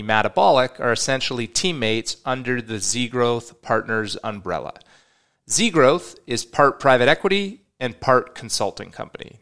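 Bright, clear-voiced podcast host man. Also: mid-range voice. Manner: upbeat, enthusiastic, slightly rambling. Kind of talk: podcast intro and interview.

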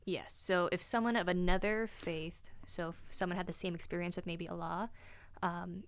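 A woman's voice: on the low side, fairly even intonation, normal speed, clear, resonant tone. An eighteen-year-old woman from North Carolina with an American accent, chatting and asking questions on a podcast as a college student. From North Carolina, United States. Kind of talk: casual podcast conversation.

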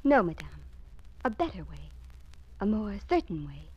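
An actress playing a poor, beautiful young cleaning girl who speaks calmly and politely while quietly scheming. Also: medium pitch, normal speed, breathy edge, highs cut off. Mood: calculating.

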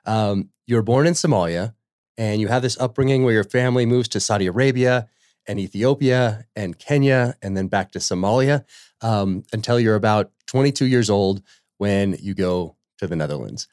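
A man hosting a podcast, reading an earnest introduction and then asking halting questions full of fillers. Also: fairly low pitch, darkish tone, no echo, studio quality. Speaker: podcast host.

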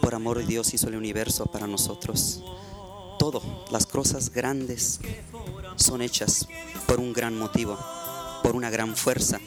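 A middle-aged man with a Mexican accent talks earnestly as a guest on a live radio show. His voice sits at an ordinary pitch, warm-hearted and devotional in manner. Faint music plays underneath. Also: fairly even intonation, a little slow. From Mexico, Baja California.

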